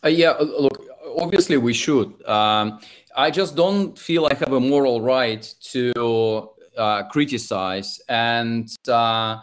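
A man with a Russian accent answers questions in an interview. He talks in a halting way, stops and restarts phrases and fills pauses with hesitant sounds. His pitch sits in the middle for a man. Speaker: investor and author.

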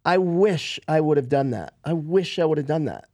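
A man's voice: on the high side, expressive, normal speed, a little mellow, dry recording, clean studio sound. A man in his fifties, a guest on a podcast, casually telling stories about his past. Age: 50s. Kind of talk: casual storytelling.

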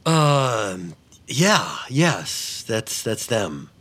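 A man playing a gentle, flustered middle-aged ex-husband in an audio drama, halting, earnest and polite. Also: mid-range voice, sing-song, exaggerated voice. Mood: awkward, tender. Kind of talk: naturalistic audio drama acting.

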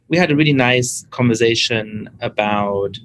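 A man hosting a podcast, introducing his guest and asking about his life in an earnest, measured way. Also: mid-range voice, fairly bright tone, slight background noise. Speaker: podcast host. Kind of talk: podcast interview.

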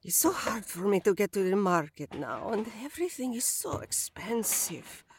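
An actress playing an eccentric elderly widow who rambles and jokes but hides something sinister, in an audio drama. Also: female voice, medium pitch, sing-song, normal speed, noisy background, close to an everyday voice. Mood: doddering. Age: older. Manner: doddering, rambling, bawdy, turns menacing.

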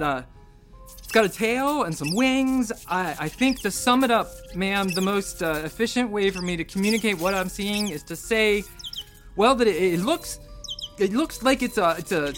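Sci-fi audio drama lead: a sarcastic, sweary commander, rambling and stammering, music underneath. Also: male voice, high voice, expressive, a little slow, a bit bright. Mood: flustered. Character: bitter, rambling, sweary male space station commander. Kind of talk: science fiction audio drama acting.

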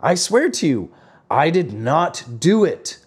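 A man's voice: mid-range voice, very animated, takes it slowly, exaggerated voice. A man voicing a surly but friendly old carpenter in a storybook, with a defensive manner.

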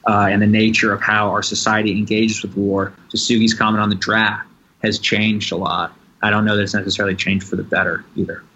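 Low-voiced man on a dull line. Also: level tone, sharp tone, a little hiss or hum. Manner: measured, with frequent "you know" fillers. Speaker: guest, novelist and Marine veteran. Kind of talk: podcast interview.

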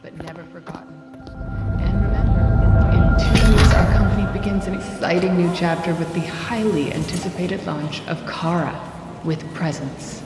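An actress playing a poised corporate announcer, measured and dramatic, in an advertisement echoing through a large hall. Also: female voice, on the low side, fairly even intonation, over loud music, close to an everyday voice. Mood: poised, promotional. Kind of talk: scripted advertisement narration. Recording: echoey large hall with long reverb.

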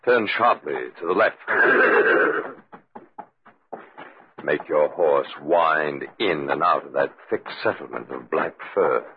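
A man's voice: a bass voice, expressive, slow pace, long pauses, exaggerated voice. A man playing a gaunt, mysterious, slyly helpful spirit stranger in 1940s radio acting style. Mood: sly, inviting. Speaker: actor in radio drama. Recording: muffled, noisy old radio recording with clicks.

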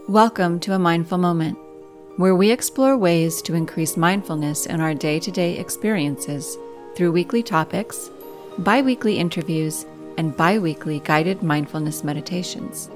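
A woman narrating a guided meditation as host, calm and measured, giving gentle step-by-step instruction. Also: lowish voice. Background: music.

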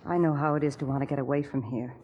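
An actress in a 1940s radio drama playing a weary but defiant young widow, plain-spoken, with a husky voice. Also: female voice, a bass voice, fairly even intonation, fast, highs cut off. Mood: earnest.

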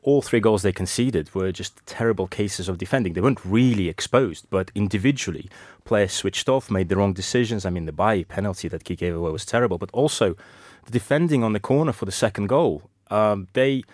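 A man giving football analysis on a podcast, measured and a little hesitant. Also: lowish voice, expressive, a little mellow, no echo. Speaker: football pundit on Russian football.

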